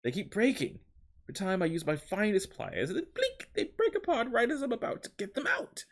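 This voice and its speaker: Fussy squeamish specimen preserver, theatrical, faltering, wandering put-on accent, high pitch, wildly swooping intonation. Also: male voice, wildly swooping pitch, brisk, full character voice. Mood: fussy, explaining. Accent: put-on, wandering between several accents. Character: squeamish, fussy taxidermist-like preservationist recounting gruesome job. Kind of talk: dramatic interview testimony reading.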